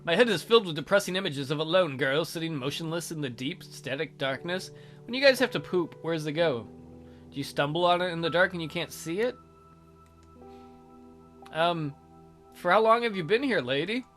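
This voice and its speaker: A man's voice: on the high side, expressive, normal speed, fairly bright tone, faint music behind. A man reading a visual novel aloud theatrically as a let's play host, with casual, jokey asides.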